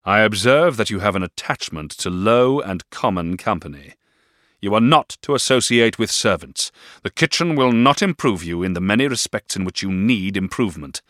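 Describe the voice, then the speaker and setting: A man's voice: deep voice, expressive, moderate pace, a bit bright, no room sound, clean studio sound. A man voicing a stern, domineering middle-aged stepfather who speaks in a grave, clipped, commanding way in an audiobook reading of a classic novel.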